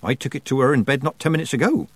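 A man's voice: mid-range voice, racing, breathy edge, dry recording, voice pushed up, lightly acted. A man voicing a fussy middle-aged housekeeper who talks in a prim, disapproving way. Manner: prim, sniffy.

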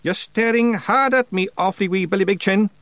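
A man's voice: high-pitched, fairly quick, dry recording, voice pushed up. A man voicing an ancient, stern and suspicious witch, questioning sharply in an audiobook. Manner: commanding, sharp interrogator. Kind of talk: audiobook character voice.

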